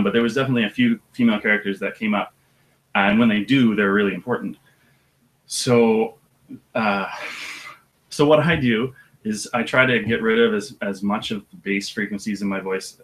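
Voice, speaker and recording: An audiobook narrator with a deep voice, talking about his work in a relaxed, thoughtful way with some hesitation. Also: poor audio. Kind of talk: casual interview chat.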